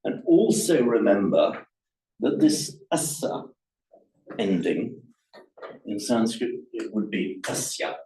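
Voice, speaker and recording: A man leads a grammar lesson, patient and prone to repeating words mid-thought. His voice is mellow and dark. It carries through an echoey room to a recorder set some distance away. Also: fairly low pitch, very animated, slow pace, long pauses. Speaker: Pali teacher. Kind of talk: classroom explanation of grammar. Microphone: room recorder, away from the speaker.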